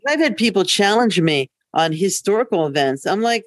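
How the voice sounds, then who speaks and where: An older woman chats casually as a podcast co-host. Her voice sits at a middle pitch for a woman and is recorded dry, with hardly any room around it. She talks candidly in personal anecdotes, with some dry humor. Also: lively intonation, normal speed, no echo. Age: older, over 50. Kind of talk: casual conversational chat.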